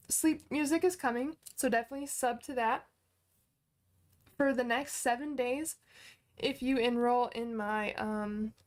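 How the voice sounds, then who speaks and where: A woman in her twenties hosting a casual livestream, chatting upbeat with viewers, full of fillers and restarts. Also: a little high. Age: 20s. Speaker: livestream host and online course teacher. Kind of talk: casual livestream chat, answering viewer comments.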